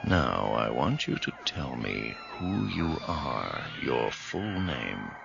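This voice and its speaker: A man's voice: extremely low pitch, wildly swooping pitch, takes it slowly, vocal fry, heavily acted. An actor playing a stern middle-aged doctor in a radio horror drama, insistent and authoritative with his patient. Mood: probing, firm. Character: stern, commanding doctor treating a possessed patient. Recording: muffled, noisy old radio recording.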